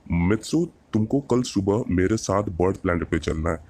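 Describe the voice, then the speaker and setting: A bossy cartoon bird-man from another planet, acted by a man, speaks in a lowish voice. He is a commanding superhero mentor who lectures with pointed questions. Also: moderate pace, darkish tone, no room sound. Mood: placating.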